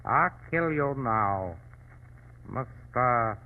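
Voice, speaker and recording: An actor playing a menacing but servile shopkeeper henchman in an old radio drama, speaking halting broken English. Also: medium pitch, animated. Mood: cold, menacing. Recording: tinny, band-limited old radio recording.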